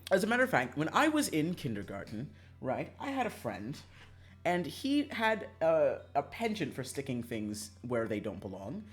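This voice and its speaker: Animated man chatting on a livestream. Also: fairly high pitch, moderate pace. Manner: animated, sweary, emphatic repetition. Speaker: streamer playing a visual novel. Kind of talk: casual chat with stream viewers.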